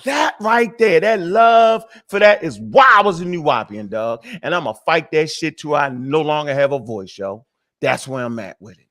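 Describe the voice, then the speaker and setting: A fired-up man hosting a talk show, his voice sitting a touch high. He rants profanely and keeps restarting his sentences as he pushes his point. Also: expressive, moderate pace, fairly bright tone. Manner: fiery, profane, rambling with many restarts. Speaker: show host. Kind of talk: heated casual talk show rant.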